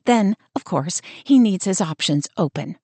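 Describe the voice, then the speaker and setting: A woman's voice: mid-range voice, fairly even intonation, normal speed, a little mellow, no echo, close to an everyday voice. A woman voicing a frightened young woman who talks in a shaky voice close to tears. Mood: bitter. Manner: shaky, close to sobbing, then bitter. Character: frightened, tearful young woman, a protective older sister.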